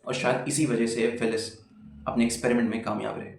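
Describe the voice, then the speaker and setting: A man narrating a video as dramatic, suspenseful storytelling, with pauses and rhetorical questions, in an echoing recording with music behind. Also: mid-range voice, little pitch movement, creaky, darkish tone, a little hiss or hum. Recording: roomy echo, music behind.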